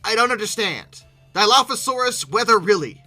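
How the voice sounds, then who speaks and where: An exasperated commentator giving an informal, unscripted reaction, animated and often stumbling over repeated words in disbelief. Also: mid-range voice, soft background music. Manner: exasperated, incredulous, animated with abrupt outbursts; halting, repeating words when flustered. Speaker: video creator hosting a reaction commentary.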